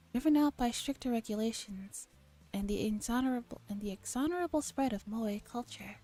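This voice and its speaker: A woman streamer reading a visual novel aloud in a soft, gentle ASMR narration style. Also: on the high side. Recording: background music under voice. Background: soft background music.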